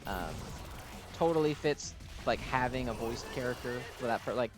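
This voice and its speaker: A man's voice: mid-range voice, normal speed, music in the background. A man giving casual, unscripted commentary as he plays and reviews a video game, rambling and gushing like a fan, with self-deprecating asides.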